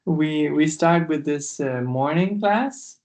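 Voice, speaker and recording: A man's voice: fairly high pitch, slow. A young man from Denmark, a dance student, talking casually and hesitantly on a video call. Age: young adult. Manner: relaxed, hesitant with fillers. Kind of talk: casual chat.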